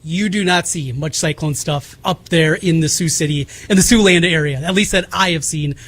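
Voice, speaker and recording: A middle-aged man with a notably high voice and a Midwestern American accent. He hosts sports radio, explaining things in an emphatic, casual style. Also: moderate pace, a bit bright. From Iowa, United States.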